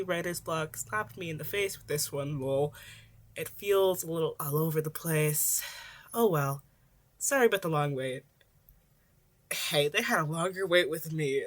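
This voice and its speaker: A young woman with a slightly low-set voice reads fan fiction aloud. She moves between casual, chatty talk and expressive, homemade story narration. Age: young adult. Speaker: podfic reader.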